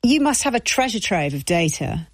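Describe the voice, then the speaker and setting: A woman's voice: medium pitch, big pitch swings, moderate pace, no echo, studio quality. A woman, a radio interviewer putting probing, pointed questions to a guest.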